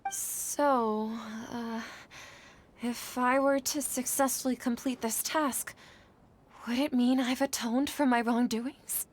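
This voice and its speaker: A woman's voice: on the high side, steady tone, slow, slight background noise. A woman playing a guilt-ridden, anxious young scholar in a video game, with an earnest, hesitant delivery. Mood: uncertain, reflective. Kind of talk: scripted video game voice acting.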